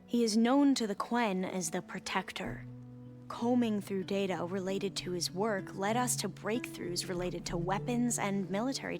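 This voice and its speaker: An actress playing an earnest, excitable young diviner in a video game, eager and rambling, with precise scholarly wording. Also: female voice, medium pitch, lightly acted. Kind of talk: dramatic video game acting.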